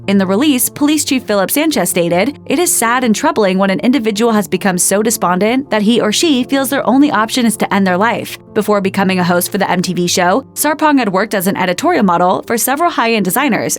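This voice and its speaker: Woman narrating over background music. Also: mid-range voice. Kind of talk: scripted documentary-style narration.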